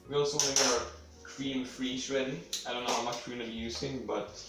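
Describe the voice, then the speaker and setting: A man's voice: medium pitch, steady tone, a little fast. Rambling sniffly young man in echoey kitchen. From Sweden. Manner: rambling, offhand, swears casually. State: sniffly with a cold. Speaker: home cooking video host. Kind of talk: casual cooking demonstration. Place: home kitchen. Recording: echoey room, mic at a distance, faint music.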